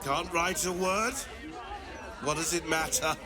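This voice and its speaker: A man's voice: on the high side, measured pace, a crowd in the background, natural voice. An actor playing a whimsical former playwright, a carefree man with a theatrical delivery in a video game. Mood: dreamy, carefree. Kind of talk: video game character acting. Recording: background music.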